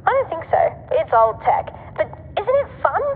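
A woman's voice: very high-pitched, big pitch swings, normal speed, narrow, tinny audio, full character voice. A woman playing a cheeky, scatterbrained girl tech helper in a sci-fi audio drama, speaking playfully through a radio intercom filter. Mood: teasing. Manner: playful, flippant. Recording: thin radio line, noisy, long reverb.

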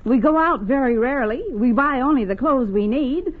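An actress playing a practical, protesting middle-aged American housewife in vintage radio comedy style. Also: female voice, high voice, normal speed. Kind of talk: 1940s-50s radio comedy acting. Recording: tinny old radio broadcast recording.

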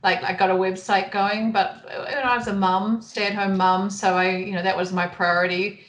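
A woman's voice: medium pitch, flat delivery, moderate pace, echoey room, rough recording. A woman in her thirties or forties with a New Zealand accent, a photographer chatting in a friendly way as a podcast guest. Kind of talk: casual interview chat.

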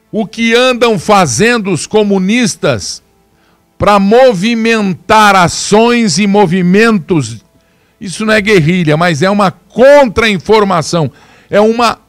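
A man giving opinionated commentary, slowing down to stress his points with emphatic, deliberate delivery. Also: sits high, dry recording. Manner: emphatic, slows down to stress words. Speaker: commentator presenting video clips.

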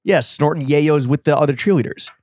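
A man's voice: a little high, a little fast, a little mellow, no room sound, studio quality. A man co-hosting a podcast, trading wry, profane banter. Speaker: podcast co-host. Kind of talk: casual chat.

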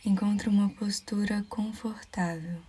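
A Brazilian woman leading a guided meditation in a soft, breathy near-whisper, calm and soothing, leaving measured pauses. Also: medium pitch.

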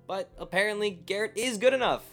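A man's voice: high voice, a little fast, vocal fry, fairly bright tone, soft background music. An actor playing a teasing, affectionate younger man recording an informal message to his sister in a radio play. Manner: chatty, wry, joking. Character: Greg, teasing affectionate young brother of the bride.

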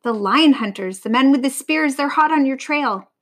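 A woman's voice: sits high, moderate pace, voice pushed up. A woman voicing a frantic, bossy old female vulture in a storybook, screechy and urgent, barking out commands.

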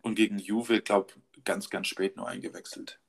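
A young man co-hosting a casual football podcast, his voice sitting a little low. He runs through match statistics in an upbeat way, with lots of filler words and small restarts. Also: little pitch movement, moderate pace, creaky, husky. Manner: stat-heavy, upbeat, filler-laden delivery. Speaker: podcast co-host.

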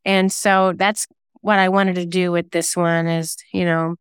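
Female interview guest, very clean smooth voice, chatty, dry close sound. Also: on the low side, flat delivery, measured pace, no room sound. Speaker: interview guest, singer-songwriter and novelist. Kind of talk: casual interview chat.

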